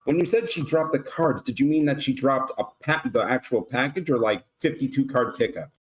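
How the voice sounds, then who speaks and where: A man's voice: mid-range voice, brisk, rough recording. A man asking casual questions in an informal group discussion. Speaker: table-read participant.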